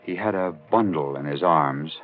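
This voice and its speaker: An actor playing a sober man recounting a murder case in measured, matter-of-fact 1940s radio drama narration. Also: a bass voice. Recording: muffled old 1940s radio broadcast.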